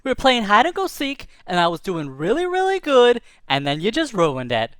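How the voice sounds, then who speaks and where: Sulky young hawk, high-pitched and louder than the narrator, whiny complaints; male storyteller voicing it. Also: wildly swooping pitch, moderate pace, clean tone, no room sound, studio quality, heavily acted. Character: sulky young hawk caught hiding in a game.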